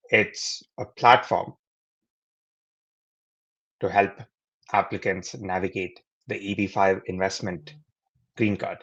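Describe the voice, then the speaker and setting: Low-voiced man from India, dull audio. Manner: explanatory, earnest. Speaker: guest. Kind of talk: interview answers.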